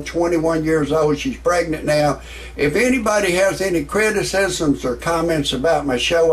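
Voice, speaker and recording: A man's voice: a little high, poor audio. A man in his sixties, ranting through a profane, rambling comic monologue to his viewers. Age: 60s. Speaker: comedy vlogger.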